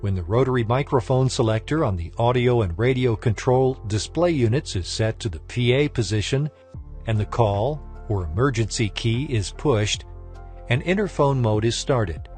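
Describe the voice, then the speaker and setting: A man reading a scripted technical training lesson in a formal, measured, instructional manner. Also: medium pitch, lively intonation, darkish tone, faint music behind. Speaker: instructor narrator.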